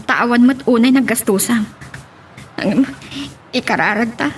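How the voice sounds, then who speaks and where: A woman's voice: fairly high pitch, a little slow, soft background music, natural voice. A woman playing a caring, worrying older female figure in an Ilocano audio drama, with an Ilocano accent. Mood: sympathetic. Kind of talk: Ilocano radio-style drama acting. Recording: noisy ambience behind the voice.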